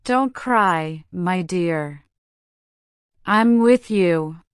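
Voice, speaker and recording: A woman voicing a gentle, grieving grandmother in a storybook, speaking softly. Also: sits low, steady tone, takes it slowly, no room sound, studio quality, pitched below the performer's own voice. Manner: soft, gentle speech.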